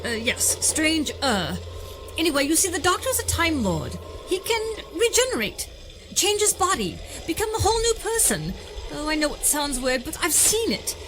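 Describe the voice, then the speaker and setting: An actress playing a spirited, sensible young time-traveling companion in an audio drama, brisk and exclamatory. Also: female voice, high voice, sing-song, background noise. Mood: awkwardly explaining.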